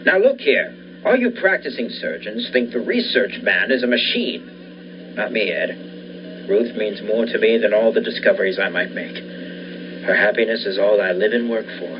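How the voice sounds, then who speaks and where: A man's voice: lowish voice, expressive, normal speed, lightly acted. Young man acting obsessive radio-thriller scientist, muffled recording. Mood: devoted, defensive. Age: young adult. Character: obsessive, defiant research scientist devoted to his wife. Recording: dull lo-fi recording with faint noise.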